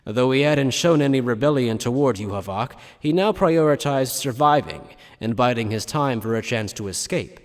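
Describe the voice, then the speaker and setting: A man's voice: mid-range voice, lively intonation, moderate pace, hardly any pauses, big-room sound. A man reading scripted narration for an anime fan audio drama.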